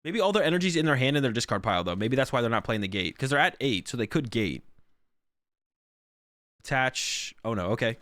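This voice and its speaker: A man's voice: mid-range voice, expressive, brisk, a bit bright, no room sound, studio quality. A man who is sick and losing his voice, rambling through casual stream commentary with lots of fillers. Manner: rambling, filler-heavy, thinks aloud.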